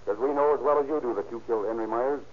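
Stern, pressing police interrogator played by an actor. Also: male voice, medium pitch, racing, close to an everyday voice. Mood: accusing, pressing. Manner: firm, pressing, accusatory. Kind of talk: 1940s-50s radio crime drama acting. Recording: dull, hissy old-time radio recording.

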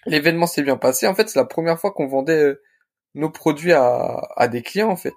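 A young man telling his story casually as an interview guest, in informal, halting phrases. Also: a little high, animated. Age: young adult. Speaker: restaurant co-founder, interview guest.

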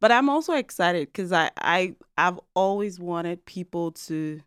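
A Nigerian woman in her thirties telling her story in a casual podcast chat, animated and laughing often. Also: lowish voice, unhurried, smooth, dry recording, studio quality. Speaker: podcast co-host and guest. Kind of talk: casual conversational interview.

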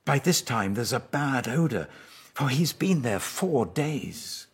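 British man voicing an earnest, grieving woman, husky. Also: mid-range voice, very animated, slow, voice pushed up, exaggerated voice. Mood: anxious protest. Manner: earnest, pleading.

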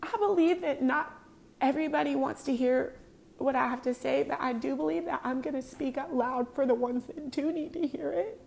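A woman's voice: high voice, normal speed, gravelly, a little mellow, a little hiss or hum. A woman in her thirties hosting her own podcast, talking in an earnest, confessional way with many ums. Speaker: podcast host. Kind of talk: casual personal talk.

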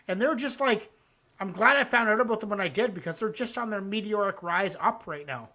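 A man's voice: high voice, brisk, pushed and bright. A man hosting a live music reaction show, chatting loosely with plenty of swearing and reading his own comic poems aloud. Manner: loose and profane, with frequent fillers. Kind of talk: casual live-stream chat.

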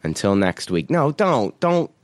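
A man's voice: mid-range voice, sing-song, a little slow, dry recording. A man hosting a podcast, casually reading out listener reviews, laughing often and swearing offhandedly.

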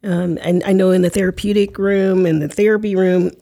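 A woman's voice: lowish voice, fairly even intonation, a little fast, vocal fry, dark tone. A woman hosting an interview in a casual chat style, talking in reflective, rambling sentences full of restarts. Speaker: podcast host.

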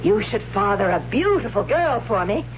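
A woman's voice: medium pitch, very animated, moderate pace, background noise, close to an everyday voice. An actress playing a fierce, mocking warrior woman who takes a man captive in an old radio drama. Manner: taunting, commanding. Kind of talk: 1970s radio drama acting. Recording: lo-fi old radio broadcast with music.

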